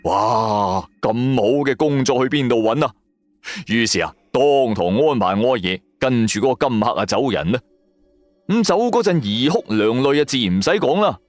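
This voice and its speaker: Animated male storyteller. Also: medium pitch, normal speed, no room sound. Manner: colloquial, suspenseful, rhetorical questions. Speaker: audio drama narrator, storyteller. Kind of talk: dramatic scripted storytelling.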